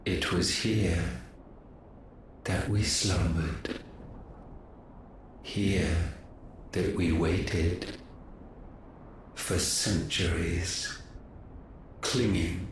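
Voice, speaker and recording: An actor playing an ancient, godlike male villain in a video game, cold and solemn, with grave, deliberate delivery. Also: sits low, recorded from a distance, a little hiss or hum, exaggerated voice. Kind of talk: dramatic video game cutscene acting. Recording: heavy echo under loud music.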